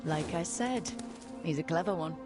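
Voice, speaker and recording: A woman's voice: deep voice, normal speed, over music, lightly acted. An actress playing a resolute, wry and plain-spoken female adventuring leader in a video game. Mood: light, encouraging. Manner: direct, wry, down-to-earth. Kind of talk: video game cinematic acting.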